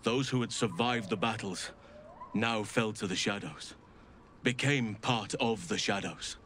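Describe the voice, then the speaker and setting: An actor playing a solemn, gentle middle-aged elven archdruid in a fantasy game, speaking in a measured, earnest, formal way. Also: male voice, fairly low pitch, slight background noise. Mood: solemn, regretful. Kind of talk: scripted fantasy game dialogue.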